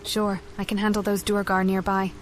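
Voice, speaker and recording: Female game adventurer actress: mid-range voice, curious, direct, brisk delivery. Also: mid-range voice, monotone, background noise, lightly acted. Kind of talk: video game voice acting.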